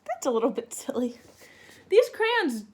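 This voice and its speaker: A woman's voice: high-pitched, wildly swooping pitch, unhurried, a little mellow, a little hiss or hum. A woman talking to young children as she introduces and reads a picture book, in simple, question-led, child-directed speech. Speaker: children's read-aloud teacher.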